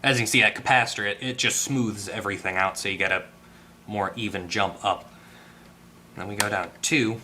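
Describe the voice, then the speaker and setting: A man giving a casual hands-on electronics repair tutorial, tentative and thinking out loud as he goes. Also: lowish voice, steady tone, fairly quick, slight background noise. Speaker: repair video host. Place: at a workbench indoors.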